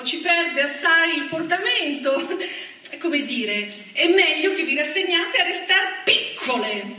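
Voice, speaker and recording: A woman's voice: high-pitched, pushed and bright. A woman on stage performing a theatrical monologue in a playful, mocking manner, lecturing like an etiquette guide. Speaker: stage actress. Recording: live stage recording with hall echo from far off.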